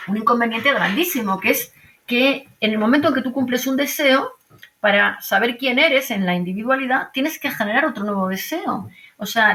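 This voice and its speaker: A woman's voice: a little high, pushed and bright. A middle-aged woman with a Madrid accent, an archaeologist being interviewed over a video call, who talks reflectively with many fillers, restarts and tag questions. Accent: Madrid Spanish. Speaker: archaeologist guest. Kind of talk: conversational interview.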